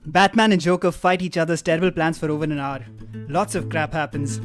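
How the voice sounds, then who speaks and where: A man narrating stage directions for a comic table read, with wry, deadpan asides. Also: on the high side, brisk. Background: live guitar music.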